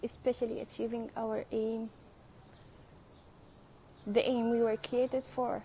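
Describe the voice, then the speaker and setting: Young woman, student vlogger, unhurried and reflective, higher voice, faint background noise. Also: little pitch movement, warm tone. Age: young adult. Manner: slow, thoughtful, hesitant delivery. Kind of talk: street interviews and personal vlog talk.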